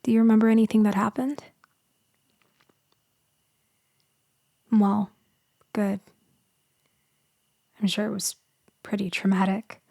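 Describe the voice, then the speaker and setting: A woman playing a gentle vampire in an intimate ASMR roleplay, speaking softly and breathily, often in a whisper, with tender, reassuring, hesitant delivery. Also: mid-range voice, level tone, normal speed, vocal fry, no room sound, clean studio sound. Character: gentle female vampire soothing someone she saved.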